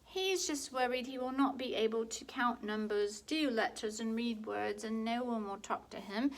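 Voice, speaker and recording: A woman's voice: fairly high pitch, normal speed, close to an everyday voice. A woman reading aloud and voicing a fussy, stubborn little girl in a storybook, with an insistent, sing-song delivery. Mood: worried.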